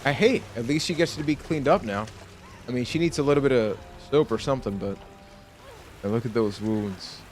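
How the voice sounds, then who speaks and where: A man doing live let's play commentary as a gaming streamer, chatting casually with animated, exclamatory reactions. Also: mid-range voice, a little fast. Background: faint game music and sounds.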